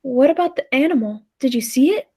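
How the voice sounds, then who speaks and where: A woman playing a gentle, contented time traveler who tells the tale in a measured, wistful way, in 1940s radio play style. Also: sits high, expressive, clean studio sound. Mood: concerned. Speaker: amateur drama club actor. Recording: roomy echo with faint music.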